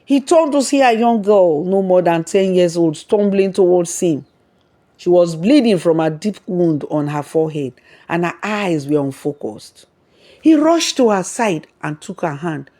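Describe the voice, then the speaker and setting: A storyteller narrates a folktale from a script. The voice sits at a middle pitch and tells the story as a read narrative. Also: lively intonation, unhurried, dark tone.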